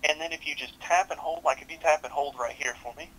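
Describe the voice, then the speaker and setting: Fast-talking young male support agent. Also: on the high side, fairly bright tone, breathy edge, poor audio. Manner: polite, helpful, informal. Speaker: tech support advisor. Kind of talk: customer service walkthrough. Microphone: headset on a video support call.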